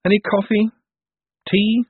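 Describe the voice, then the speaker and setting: A man voicing a quick-witted, weary rogue banker in an audiobook, casual and wry. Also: sits high, little pitch movement, slightly rough, no room sound, phone-quality sound, voice pushed up, heavily acted. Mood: curious, casual.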